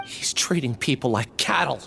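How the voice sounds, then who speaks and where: An indignant young student in a dramatic video game scene. The voice is impassioned and very lively in pitch, ringing with long reverb over music. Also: female voice, normal speed, vocal fry, slightly rough, exaggerated voice. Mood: outraged. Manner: impassioned, pleading. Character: indignant, loyal young student confronting an injustice.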